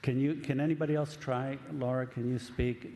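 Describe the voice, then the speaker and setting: A man with a Cuban accent chairing a planning commission meeting, talking plainly and pausing on fillers at a desk microphone. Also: medium pitch, little pitch movement, warm tone. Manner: plain, unhurried, halting with fillers. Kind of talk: running a public meeting. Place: public meeting chamber. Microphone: desk microphone up close. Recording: long hall reverb, faint room noise.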